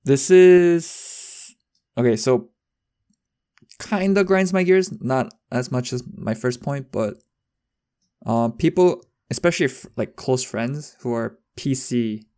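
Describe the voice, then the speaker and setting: A man's voice: mid-range voice, takes it slowly, mellow. A young man chatting casually as a podcast co-host, rambling with lots of fillers and restarts. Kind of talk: casual chat.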